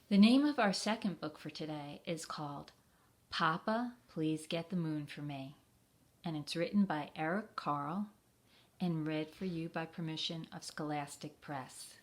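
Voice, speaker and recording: A woman reading aloud and talking simply and gently to young children as a storytime leader. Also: deep voice, measured pace. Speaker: children's library storytime reader.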